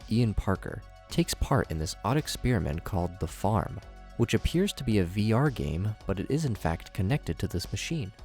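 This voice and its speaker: A man hosting a video review, narrating scripted commentary in an expressive, conversational presenter's manner. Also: low-pitched. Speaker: YouTube host, horror series reviewer.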